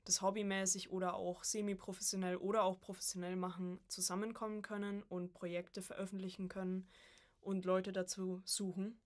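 A woman's voice: medium pitch, little pitch movement, fairly quick. A young woman chatting casually as a guest on a podcast, a student of voice acting.

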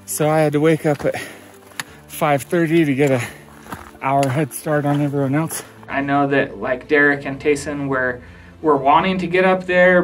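A man in his thirties, a hiker, talking casually in a vlog style. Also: a little high. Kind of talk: casual trail vlog talk and recollection.

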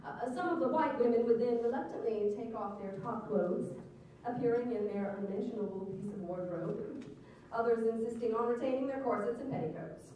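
A woman's voice: on the high side, fairly quick, narrow, tinny audio. A woman reading old newspaper articles aloud to an audience in a theatrical, arch storytelling manner. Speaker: reader at a public event. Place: hard-walled room with small stage. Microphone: stand mic, recorded by far camera. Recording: echoey hall, distant mic, some noise.

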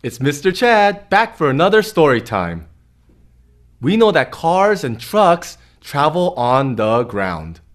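A man in his thirties hosting a children's storytime, reading aloud expressively and speaking directly to young listeners. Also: medium pitch.

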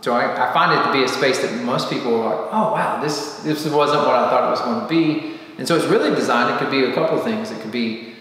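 A man's voice: medium pitch, quick pace, a bit bright. A man in his forties talks through construction details while standing in a bare, unfurnished room. He keeps it casual and hands-on, with fillers and the odd restart. His voice bounces around the empty space with a long, roomy echo. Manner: gestures a lot, explains with fillers and restarts. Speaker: home builder. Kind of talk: casual walkthrough explanation. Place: large empty basement room, indoors.

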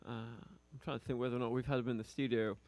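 A male radio host with a foreign accent, interviewing a musician in a relaxed, hesitant way. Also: mid-range voice, fast talker, dry recording. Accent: foreign, non-local. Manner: relaxed, hesitant, many fillers. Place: small radio studio. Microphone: studio mic on a stand.

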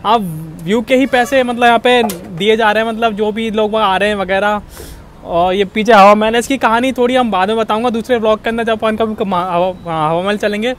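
A man's voice: high voice, fairly even intonation, fairly quick. A man, presenting a casual vlog to camera on an open rooftop. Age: 20s. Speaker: vlogger. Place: open rooftop cafe above a busy street. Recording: clearly noisy outdoor recording, street traffic.

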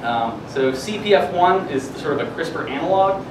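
Young male presenter, casual and halting science talk, picked up from afar in a boomy, echoing classroom. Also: lively intonation. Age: young adult. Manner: hesitant, halting delivery with frequent filler words. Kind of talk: informal research news presentation. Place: classroom or seminar room, indoors. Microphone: distant camera microphone. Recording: echoey room, distant mic, air-conditioning rumble.

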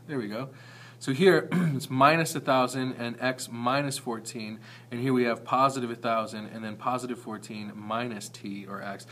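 A man's voice: mid-range voice, a bit bright, a little hiss or hum. A man giving an informal, explanatory math lesson as a teacher, talking conversationally with some hesitant self-corrections.

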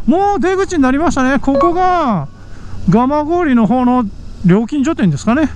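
Upbeat male motorbike vlogger with a very high pitch, recorded on a helmet mic with faint wind and road noise. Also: normal speed. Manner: excited, frequent exclamations at the scenery. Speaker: motovlog host. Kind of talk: casual motorcycle vlog commentary. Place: riding a motorcycle outdoors on a mountain road. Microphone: helmet mic on a moving motorbike.

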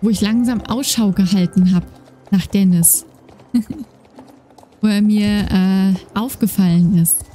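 A woman with a slight lisp, chatting casually while playing a horse game, with many fillers, on a desk microphone. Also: mid-range voice. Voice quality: slight lisp, soft trailing S sounds. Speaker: gaming YouTuber. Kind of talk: casual let's-play commentary. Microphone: desk microphone with noise gate. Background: game music and horse hoofbeats.

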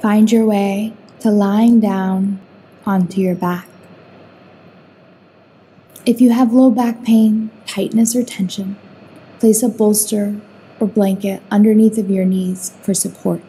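Slow, dark-voiced woman guiding meditation. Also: medium pitch, steady tone, breathy edge, low-quality recording. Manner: soothing, unhurried instruction with long pauses. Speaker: meditation guide. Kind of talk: guided sleep meditation.

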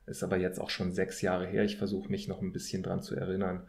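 A man speaking as an academic podcast guest, explaining conversationally in measured, hesitant phrases. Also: sits low, monotone, creaky, a little mellow. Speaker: podcast guest, political scientist.

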